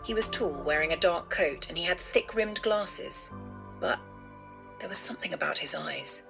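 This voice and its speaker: A woman playing a frightened witness in an audio drama, recalling an eerie stranger in a halting, uneasy way. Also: lowish voice, gravelly, music in the background. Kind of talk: scripted audio drama testimony.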